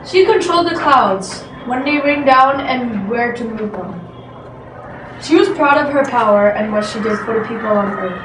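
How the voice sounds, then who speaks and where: A woman's voice: on the high side, expressive, moderate pace, close to an everyday voice. Echoey, distant storytelling father played by a girl. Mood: patient, storytelling. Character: patient storytelling village father telling folk tales. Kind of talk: amateur readers theatre storytelling. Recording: noisy echoey room, distant microphone.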